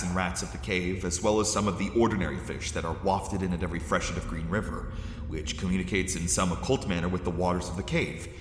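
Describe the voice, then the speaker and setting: A man's voice: low voice, a bit bright. A man acting a terrified lost explorer, narrating his ordeal in formal, theatrical gothic horror style. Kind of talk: dramatic first-person gothic horror narration. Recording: echoey cavern-like reverb, faint noise.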